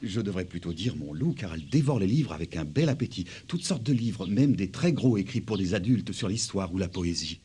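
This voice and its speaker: An actor playing a courteous, doting middle-aged gentleman father in a French cartoon dub, speaking politely and formally. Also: sits low, lively intonation, brisk, few pauses, husky, exaggerated voice. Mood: courteous, fond.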